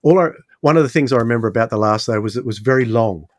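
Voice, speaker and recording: A man's voice: mid-range voice, fast. A middle-aged man co-hosting a podcast, chatting casually and putting questions to others. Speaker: podcast co-host. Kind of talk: casual discussion and interviewing.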